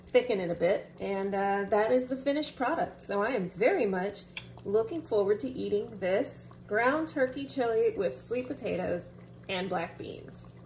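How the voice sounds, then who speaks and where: A young woman casually narrating a home cooking video in a relaxed, chatty way, with fillers. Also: medium pitch, normal speed. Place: home kitchen. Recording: distant mic, noticeable room noise.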